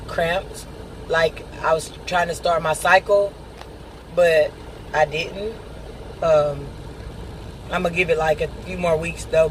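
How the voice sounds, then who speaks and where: Man, slightly high voice, loose unscripted chat full of pauses, faint car hum behind. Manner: rambling, halting with many pauses. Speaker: vlogger. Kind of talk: casual personal update.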